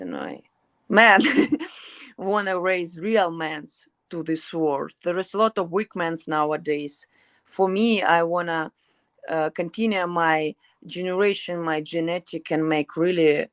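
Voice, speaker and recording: A woman's voice: fairly low pitch, fairly bright tone, dry recording. Blunt woman with a Ukrainian accent. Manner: blunt and outspoken, broken English. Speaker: guest fighter. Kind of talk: casual interview.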